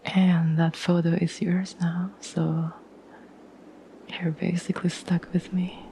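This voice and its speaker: Casting: actress as devoted, soothing girlfriend for ASMR roleplay, breathy whisper, mellow and even, faint background noise. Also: on the low side, rough recording. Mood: loving, reassuring. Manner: gentle, slow, halting, earnest. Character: tender, devoted girlfriend reassuring an anxious partner.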